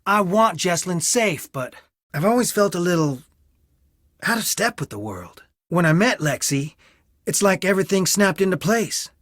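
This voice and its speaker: A man's voice: high-pitched, lively intonation, normal speed, fairly bright tone, dry recording. A young man from a well-off family, torn and wounded, in a dramatic video game. He speaks earnestly, with emotional strain, in a dry, close recording. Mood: conflicted, wistful.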